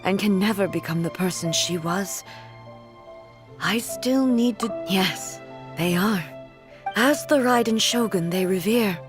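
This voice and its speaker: A woman acts out an immortal game empress, solemn and reserved. Her medium-pitched voice is measured and formal, with a resolute gravity, and music plays clearly behind it. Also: natural voice. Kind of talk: video game voice acting.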